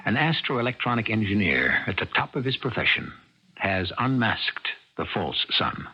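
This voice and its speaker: Male American mystery anthology host. Low, animated, deliberate and grave narration over music on an old, dull radio recording. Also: breathy edge. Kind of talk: dramatic scripted radio narration.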